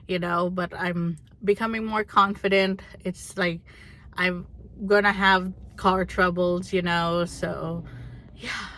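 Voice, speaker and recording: A young woman chatting casually and candidly to her vlog audience in a rambling, animated way. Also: fairly low pitch. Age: young adult. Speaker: vlogger.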